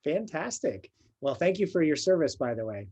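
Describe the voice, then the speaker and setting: A man's voice: fairly high pitch, big pitch swings, normal speed, dark tone, slightly rough. A man hosting a local business interview series, friendly and encouraging, laughing along with his guest. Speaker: business coach, interview host.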